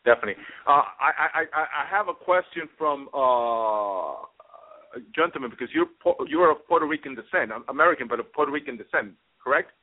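A man hosting casual political talk radio, halting and repeating his words as he goes. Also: medium pitch, expressive. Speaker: radio show host. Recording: thin phone-like sound.

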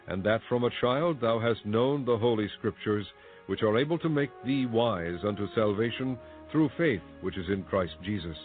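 A man reciting a Bible passage in a steady, measured, formal reading. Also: on the low side, narrow, tinny audio. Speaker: scripture reader.

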